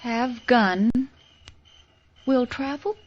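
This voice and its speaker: A woman's voice: fairly high pitch, drawn-out words. An actress playing a spirited, scheming young frontier woman in an old radio western, coy and impulsive. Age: young adult. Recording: dull vintage radio recording.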